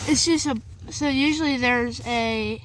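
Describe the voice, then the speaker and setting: A woman giving a casual, halting how-to walkthrough in and around a pickup truck, leaning on fillers and repeating herself as she explains. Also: a little high, a little hiss or hum, rough recording. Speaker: video host showing a vehicle setup.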